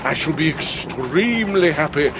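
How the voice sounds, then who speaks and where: A florid middle-aged English gentleman, down on his luck yet grand, in a period radio play. He declaims pompously in a fairly high, widely swinging voice, with clear outdoor noise behind him. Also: expressive, moderate pace. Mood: fond, solemn. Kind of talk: radio drama acting of a Victorian classic.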